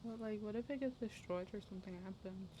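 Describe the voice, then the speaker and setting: A woman's voice: medium pitch, fast talker, slight background noise, rough recording. A woman doing casual, sweary, offhand gameplay commentary as a streamer. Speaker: game streamer. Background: loud game music.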